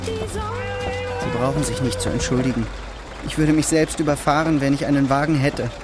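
An actor playing a cynical, disillusioned engineer in a stage-like radio drama, speaking in ironic, declamatory aphorisms. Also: male voice, fairly high pitch, big pitch swings, moderate pace, loud music behind the voice. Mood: bitter irony. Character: cynical disillusioned male engineer, brooding intellectual dreamer.

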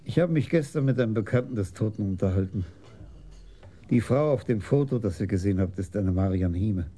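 An actor playing a thoughtful senior police commissioner in a radio crime drama, measured and dryly probing, with a husky voice. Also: male voice, on the low side, animated, mellow. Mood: measured, reflective. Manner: measured, deliberate, philosophical.

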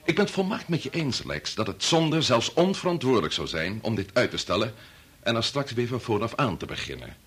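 An actor in a Dutch radio play, playing a grave, resolute older doctor who speaks in a measured, formal, authoritative way. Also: male voice, mid-range voice, very animated, a bit bright, husky. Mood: grave, understanding. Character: grave, resolute senior doctor and father leading an experiment.

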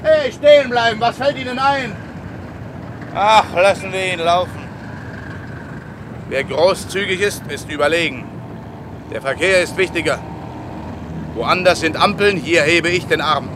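A man's voice: high voice, a little slow, pauses often. A man acting a self-important traffic policeman in a radio play, with an emphatic, declamatory manner. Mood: indignant. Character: self-important male traffic policeman, commanding, growing bewildered. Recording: clear street traffic noise.

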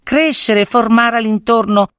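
A woman reading a fairy tale as expressive scripted narration, with theatrical, deliberate phrasing. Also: on the high side, no room sound, clean studio sound.

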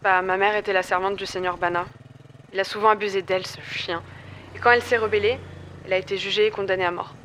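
A woman plays a young female rebel courier in an audio drama. She has a mid-pitched voice and a plain-spoken, self-assured, slightly gruff manner, with faint noise around her. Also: fairly even intonation, fast, a bit bright, lightly acted. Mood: bitter.

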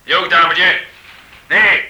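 An actor playing a mocking, coolly menacing armed villain in a radio play, with sarcastic, taunting delivery. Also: male voice, sits high, steady tone, slow pace, bright, forceful tone, exaggerated voice. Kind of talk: Dutch radio play acting. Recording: roomy, echoing radio drama recording.

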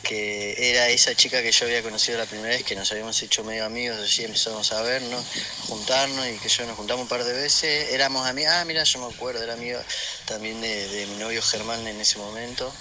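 A man's voice: medium pitch, fairly even intonation, fast. An Argentine man telling a casual personal anecdote in a hesitant, colloquial way, as a voice message. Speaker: listener sending an audio message. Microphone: phone voice message. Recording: voice message with loud background noise.

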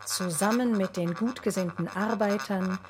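A woman's voice: mid-range voice, moderate pace, natural voice. A woman narrating a scripted documentary radio play, reading a synopsis in short declarative sentences. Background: music behind the voice.

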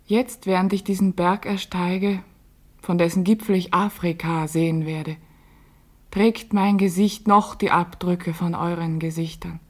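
An actress playing a fearful, bitter, solitary woman in a literary radio play monologue, with a breathy delivery. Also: lowish voice, steady tone, measured pace, darkish tone. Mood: anguished, bitter.

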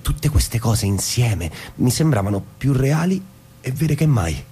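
An actor playing a restless, disillusioned American husband in theatrical stage-drama style, intense and bitter. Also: male voice, medium pitch, sing-song, a little slow, warm tone, slightly rough, heavily acted. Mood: reflective, intimate. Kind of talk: stage-drama acting with literary monologues. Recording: music behind the voice.

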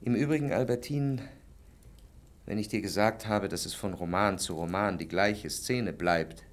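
An actor playing a jealous young lover in a German literary radio drama, urgent and reproachful. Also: male voice, fairly low pitch, moderate pace, natural voice. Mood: musing, didactic.

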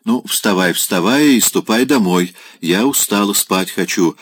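Commanding old lady of the house, firm and loud, in a storybook reading, as a male reader plays her. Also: on the low side, a little slow, no echo, clean studio sound. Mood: stern. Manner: stern, commanding. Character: stern elderly woman, mistress of the house.

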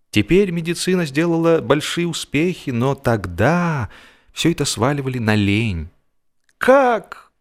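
Low-pitched man narrating humorous literature. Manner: expressive, theatrical phrasing with mock seriousness. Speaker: audiobook narrator.

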